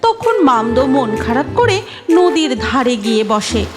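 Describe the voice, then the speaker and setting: Female cartoon narrator, high and very animated, scripted storytelling over music. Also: moderate pace. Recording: music behind the voice.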